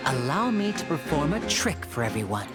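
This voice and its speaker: A woman's voice: sits low, sing-song, a little fast. A woman playing a suave, courteous young male stage magician in a video game, with a polished showman's manner. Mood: showmanlike. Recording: game music behind.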